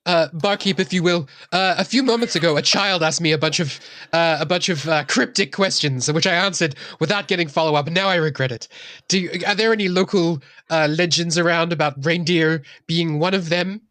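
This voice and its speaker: A woman takes part in a tabletop role-playing game. She is wordy and measured, with a dry delivery, recorded close with hardly any room. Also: fairly low pitch, a bit bright, no room sound. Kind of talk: casual in-character improvised chat.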